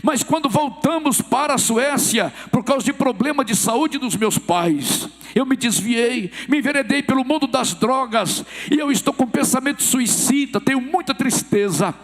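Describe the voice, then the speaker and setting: A Brazilian pastor with a Minas Gerais accent preaches from the pulpit, his voice sitting very high for a man. He tells stories and acts out the people in them, calling out hallelujah between them. The church hall rings with a long reverb behind every line. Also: expressive, moderate pace, hardly any pauses. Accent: Brazilian Portuguese, Minas Gerais. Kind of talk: sermon.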